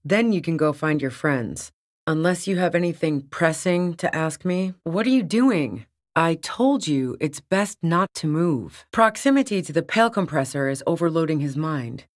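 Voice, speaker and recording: A woman's voice: sits low, expressive, normal speed. A tough, sardonic fugitive woman. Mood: cool, wary. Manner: blunt, sardonic, foul-mouthed. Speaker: video game voice actor. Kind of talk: video game character dialogue.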